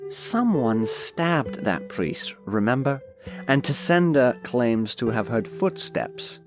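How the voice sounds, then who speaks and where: A man's voice: mid-range voice, sing-song, takes it slowly, music in the background. A man playing a jaded, condescending nobleman who speaks in a curt, dry, analytical way in a dramatized fantasy audiobook. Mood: musing, analytical.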